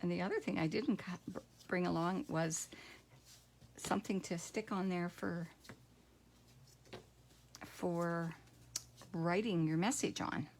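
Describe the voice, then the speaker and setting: A middle-aged woman with a low, gravelly, croaky voice walks through a card-making project in a loose, rambling manner. She thinks aloud, pausing to mull things over as she works. A faint hiss of noise sits behind her in a home craft room. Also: steady tone, darkish tone, rough recording. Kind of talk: casual craft tutorial.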